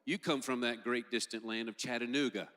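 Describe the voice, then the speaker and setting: Middle-aged man, upbeat panel host, bright tone, long hall reverb. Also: mid-range voice, fairly even intonation, a little fast. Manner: upbeat, joking. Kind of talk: emceeing a student panel. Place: large stage hall, indoors. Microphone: handheld mic.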